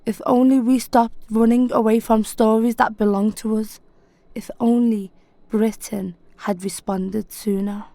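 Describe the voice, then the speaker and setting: A woman poet giving a slow, measured, solemn spoken-word poetry reading. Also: a little high, fairly even intonation, creaky, dark tone, no room sound.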